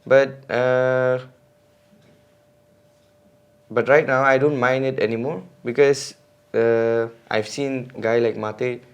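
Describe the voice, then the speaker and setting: A man's voice: mid-range voice, steady tone, slow, clear, resonant tone, dull audio. A man, a guest on a podcast, talking casually and hesitantly with many fillers and restarts. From India. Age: 20s. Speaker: podcast guest, mountain bike racer.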